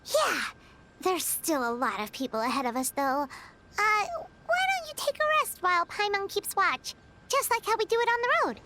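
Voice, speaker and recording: A woman voicing a tiny, excitable childlike fairy companion in a video game, squeaky and dramatic. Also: moderate pace, slight background noise, exaggerated voice. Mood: chirpy, eager. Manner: exclamatory, whiny, dramatic.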